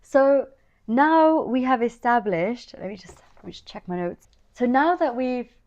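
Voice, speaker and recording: A woman's voice: a little high, expressive, unhurried, darkish tone. A young woman raised in the Netherlands and the UK, earnestly talking to camera in an explanatory commentary and often checking in with "right?". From Sri Lankan family, raised in the Netherlands and UK. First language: Dutch. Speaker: video essay host. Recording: slightly dull lo-fi audio.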